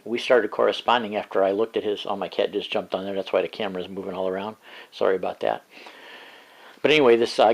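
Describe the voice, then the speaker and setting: An older man hosting his home video show, talking in a relaxed, rambling way with many fillers, on a webcam in a small room. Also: on the low side, poor audio. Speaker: show host. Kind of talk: casual video show talk.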